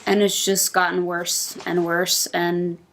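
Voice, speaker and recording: Female podcast panelist, slightly low voice with plenty of vocal fry, earnest casual talk. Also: level tone, slow pace, fairly bright tone. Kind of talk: casual wrestling prediction talk.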